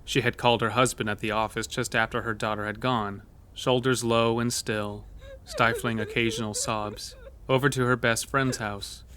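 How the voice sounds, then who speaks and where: A man's voice: mid-range voice, sharp tone. A man narrating a scripted horror story, with a mid-range voice.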